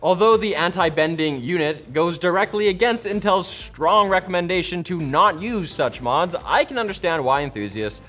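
Lively, playful male tech news host. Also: high voice, moderate pace, few pauses, faint music behind. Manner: playful, sarcastic. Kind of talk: scripted news narration with jokey asides.

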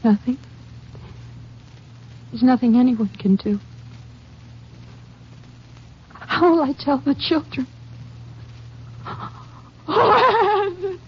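A woman's voice: high-pitched, very animated, slow pace, pauses often, heavily acted. An actress playing a polite, fragile wife and mother, hesitant and emotional, in 1950s radio melodrama style. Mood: grief-stricken. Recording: muffled, noisy 1950s radio recording.

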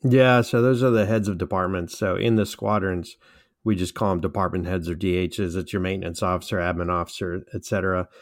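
A man's voice: sits low, steady tone, a little fast. A middle-aged man co-hosting a podcast, a friendly, upbeat interviewer who laughs easily. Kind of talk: casual podcast chat.

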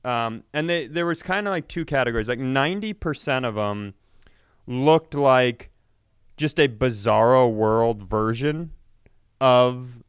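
A man chatting casually as a comedy podcast co-host, telling self-mocking, sweary stories in an animated way. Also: mid-range voice, measured pace, clear, resonant tone, dry recording, studio quality.

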